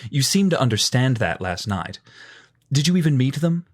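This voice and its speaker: A man's voice: mid-range voice, very animated, moderate pace. A man playing an irritable, sardonic vampire boss in an audio drama, sarcastic and exasperated. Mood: irritated.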